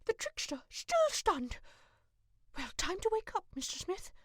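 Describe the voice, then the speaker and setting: A woman playing a determined, affectionate, resourceful adventurer in an audio drama, expressive and commanding. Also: very high-pitched, unhurried, no echo, studio quality, soft background music, heavily acted. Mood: resolute. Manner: expressive, thinking aloud, commanding.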